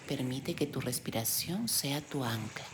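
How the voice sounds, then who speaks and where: A woman leading a guided meditation in a gentle, soothing delivery with pauses. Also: rumbling low, a little hiss or hum. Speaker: meditation guide, yoga teacher. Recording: steady loud sea-wave background sound. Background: sound of ocean waves.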